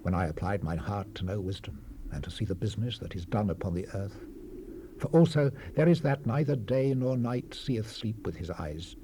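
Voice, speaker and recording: An actor playing a solemn, world-weary sage, delivering scripture in a grave, oratorical manner with dramatic swells and hushed falls. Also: male voice, fairly low pitch, lively intonation, moderate pace. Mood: weary, reflective. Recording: noisy 1970s cassette rip, faint hiss.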